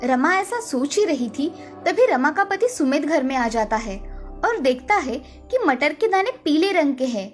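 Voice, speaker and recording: High-pitched woman storyteller. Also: sing-song, moderate pace, soft background music. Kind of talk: scripted narration of a children's moral story.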